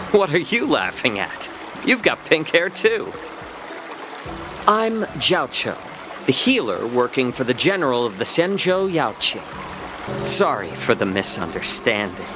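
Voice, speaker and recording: An actor playing a genial, sly male healer in a video game, with a polite, wordy, gently teasing manner. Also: a little high. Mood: polite, affable. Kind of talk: game cutscene character acting.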